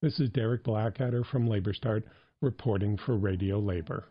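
Low-voiced man reading labor news. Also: soft background music. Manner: steady, measured delivery. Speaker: news reporter. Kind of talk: scripted news report. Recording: dull lo-fi recording.

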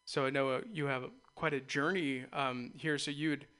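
Male radio host, mid pitch, bright tone, loose rambling chat. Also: fairly even intonation, moderate pace, clear, resonant tone. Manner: loose, rambling, laughs easily. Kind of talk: casual interview chat.

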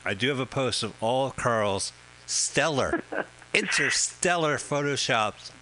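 A man's voice: mid-range voice, expressive, takes it slowly, bright, forceful tone, a little hiss or hum, poor audio. A man co-hosting a radio show, joking and riffing casually while watching a movie. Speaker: radio co-host.

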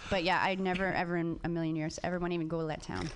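A young woman co-hosting a casual chat, animated, laughing and swearing freely. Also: on the low side, rapid-fire, slight background noise.

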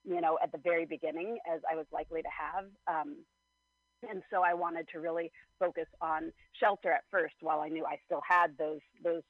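A man answering interview questions in a thoughtful, measured way, with plenty of fillers. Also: fairly high pitch, little pitch movement, no echo. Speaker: guest. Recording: slightly dull, lo-fi sound.

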